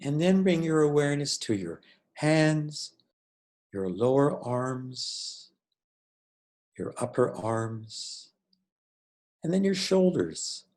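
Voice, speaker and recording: A man leading a guided meditation as a teacher, speaking in a measured, deliberate way with pauses between phrases. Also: on the high side, very animated, darkish tone.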